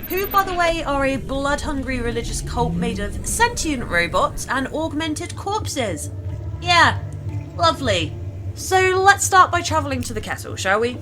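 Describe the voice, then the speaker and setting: Lively, expressive woman narrating gameplay. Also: a little high. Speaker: game publisher team member presenting gameplay. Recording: music behind the voice.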